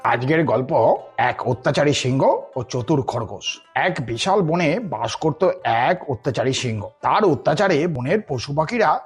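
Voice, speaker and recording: Husky-voiced man telling a children's story. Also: mid-range voice, expressive, measured pace. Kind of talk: scripted children's story narration. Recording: music behind the voice.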